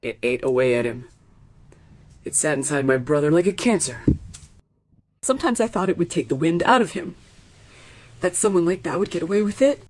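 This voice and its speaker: A low, husky female voice plays a housekeeper in a radio drama. She is defensive and agitated, pressing her points with bitter emphasis. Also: lively intonation, moderate pace, pauses often, a little mellow. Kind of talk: radio play acting.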